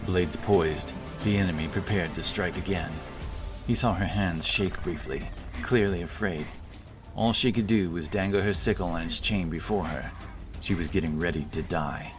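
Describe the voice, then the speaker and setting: Deep voiced guy narrating fantasy action, dramatic read, cinematic music behind him.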